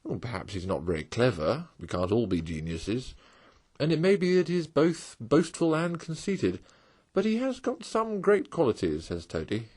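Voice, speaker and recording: A man voicing a cheerful, excitable male water rat in a storybook reading. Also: medium pitch, husky, pitched above the performer's own voice. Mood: enthusiastic. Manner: brisk, chatty, indignant at times.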